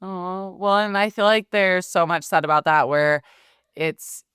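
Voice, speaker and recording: A woman's voice: fairly low pitch, a little slow, a bit bright, clean tone, no room sound, clean studio sound. A woman in her thirties who runs a skincare business, chatting casually on a podcast and acting out her own thoughts in little quotes.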